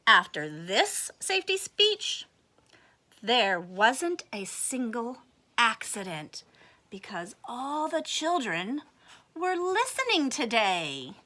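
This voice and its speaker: A woman reading a picture book aloud to children in a very expressive way, with asides and questions for young listeners. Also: on the high side, slow pace.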